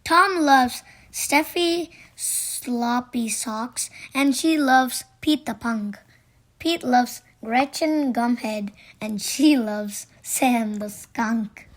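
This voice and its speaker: A woman reading children's poems aloud from a storybook in a deliberate way. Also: on the high side. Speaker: storybook reader. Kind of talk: read-aloud children's poetry.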